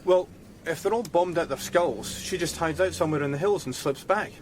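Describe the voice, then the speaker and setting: A man's voice: on the high side, fairly quick, slight background noise, close to an everyday voice. An actor playing a blunt male junior detective floating theories in a radio drama. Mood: speculative, blunt. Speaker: police officer.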